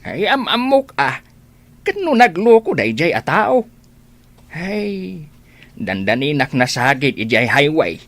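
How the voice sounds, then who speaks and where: A man playing a gossipy, plain-spoken working-class uncle in a radio drama, husky, with an Ilocano accent. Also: a little high, very animated, takes it slowly, long pauses, fairly bright tone, no room sound, full character voice. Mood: gossipy, insistent.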